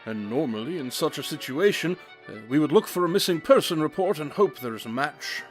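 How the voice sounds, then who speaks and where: An actor playing a brilliant, brooding detective in an audio drama, speaking in a deliberate, analytical and slightly formal way. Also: male voice, on the high side, animated, faint music behind, close to an everyday voice. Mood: analytical, intense. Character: brooding, brilliant detective, intense and self-absorbed.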